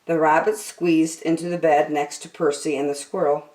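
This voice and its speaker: A woman reading a children's story aloud expressively, with deliberate, dramatic phrasing. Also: low voice, flat delivery, a little mellow, reverberant, low-quality recording.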